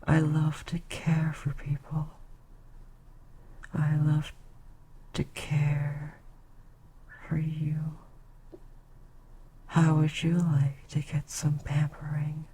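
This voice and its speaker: ASMR roleplay: ancient, motherly succubus queen voiced by a woman, slow and breathy, dark mellow tone. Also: deep voice, monotone, a little hiss or hum, rough recording. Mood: soothing. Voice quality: breathy whisper. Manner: soft, slow, often whispering.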